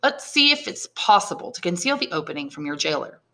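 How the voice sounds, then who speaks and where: A woman's voice: lowish voice, sing-song, brisk, pushed and bright, heavily acted. An elderly, learned priest long held prisoner, acted out by a woman in a classic audiobook. The delivery is articulate and emphatic, full of rhetorical force, bitter and resigned. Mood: practical, measured. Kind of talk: dramatised audiobook reading of a classic novel.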